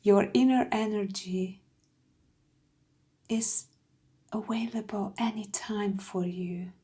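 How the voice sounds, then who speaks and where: Slow, mellow woman guiding a meditation. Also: medium pitch, fairly even intonation. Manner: soothing, hushed, breathy phrase endings. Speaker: meditation guide. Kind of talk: slow guided meditation, scripted.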